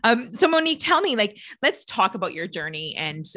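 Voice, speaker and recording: A woman's voice: mid-range voice, big pitch swings, a little fast, pushed and bright. A woman hosting a podcast, chatting casually with a guest, laughing often and filling her talk with "like". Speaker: podcast host, trainer.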